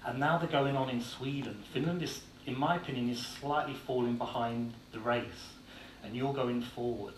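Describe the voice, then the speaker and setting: An Irish-born man with an English accent giving a blunt, informal conference talk with frequent filler words. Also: medium pitch. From Ireland. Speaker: conference speaker. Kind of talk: conference presentation. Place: conference hall. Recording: echoey hall, distant mic, faint noise.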